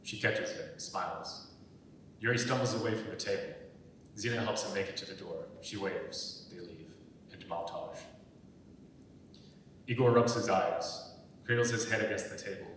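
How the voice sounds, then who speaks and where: A man reading screenplay stage directions aloud as scripted narration, recorded from far off in an echoing hall. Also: a bit bright. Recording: echoey hall, far from mic, rumbly noise.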